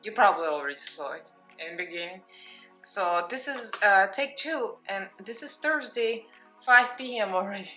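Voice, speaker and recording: A woman's voice: medium pitch, expressive, moderate pace, sharp tone, soft background music. A woman with a Russian accent, a makeup vlogger talking through a tutorial in a casual, candid, self-mocking way.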